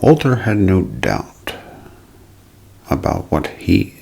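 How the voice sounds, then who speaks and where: A man with a deep voice narrates in the manner of an unsolved-mysteries show, made for ASMR. His delivery is slow and deliberate, rising and falling dramatically. The tone is thick with gravel and husk, often slipping toward a whisper. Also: huge pitch swings, dark tone, slight background noise. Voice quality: gravelly, husky, breaking into whisper.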